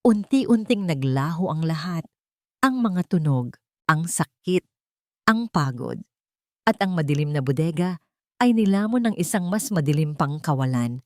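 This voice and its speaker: A woman narrates a dramatic story in a somewhat low voice. Her phrasing is deliberate and emotive, and the recording is dry and close. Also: darkish tone, no room sound.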